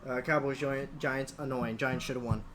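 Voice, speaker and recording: A man's voice: medium pitch, fairly even intonation, normal speed, background noise. A man co-hosting a sports podcast in informal banter, tossing out short, terse quips.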